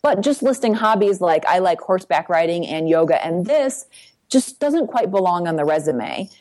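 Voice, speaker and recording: Brisk woman giving career advice. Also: on the low side, sing-song, darkish tone. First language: English. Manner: brisk, practical, tag questions like "right?". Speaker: guest career coach. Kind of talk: advice interview.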